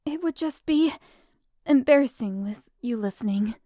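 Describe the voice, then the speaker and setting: A woman's voice: fairly high pitch, animated, moderate pace, mellow, no echo, clean studio sound, lightly acted. A woman voicing a shy, anxious teenage schoolgirl in an anime visual novel fan dub, hesitant, stammering and polite. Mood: nervous, hesitant.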